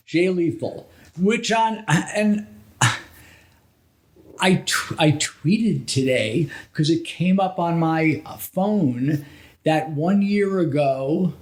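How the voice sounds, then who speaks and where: A man's voice: a little high, lively intonation, slow. A middle-aged man from New Jersey telling anecdotes casually as a podcast co-host, rambling and backtracking as he goes. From New Jersey, USA.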